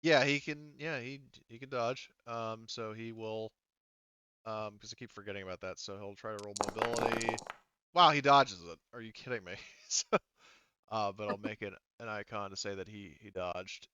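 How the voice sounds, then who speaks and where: A man's voice: fairly low pitch, fairly even intonation, normal speed, sharp tone, clean tone, dry recording, muffled recording. A man speaking informally as a game master, narrating play and calling rules, with some swearing. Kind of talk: casual tabletop game narration and rules calls.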